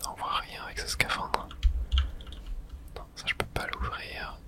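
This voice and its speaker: Whispered voice, breathy, bright tone, ASMR let's play narration, murmured reactions, mild swearing. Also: a little slow. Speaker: gamer narrating own play.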